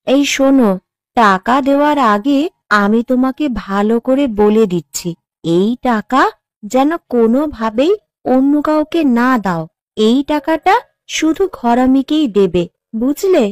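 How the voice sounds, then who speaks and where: A woman's voice: high-pitched, expressive, unhurried, no room sound. A woman voicing a shrewd, protective young village wife with a firm, instructing manner in a cartoon. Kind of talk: Bengali cartoon voice acting.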